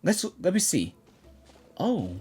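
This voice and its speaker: A woman's voice: lowish voice, huge pitch swings, slow, warm tone, husky. A woman streamer giving casual, rambling reactions to a game as she plays, often repeating her words. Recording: game music behind voice.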